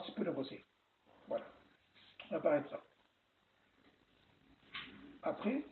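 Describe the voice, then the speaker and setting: A man from France giving casual advice about settling abroad, talking in an animated way and often restarting his sentences. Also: a little high. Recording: echoey room, phone-like lo-fi sound, faint hiss.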